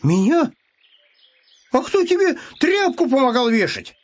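A man voicing an excitable, simple, cheerful young hare in a storybook tale, exclaiming in bewildered, colloquial bursts. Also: very high voice, unhurried, slightly rough, lo-fi sound, voice pushed up, full character voice. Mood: indignant.

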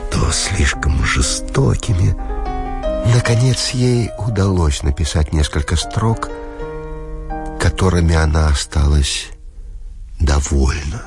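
A man narrating a literary audiobook expressively, with measured, dramatic phrasing and deliberate pauses. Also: sits low, expressive, music in the background.

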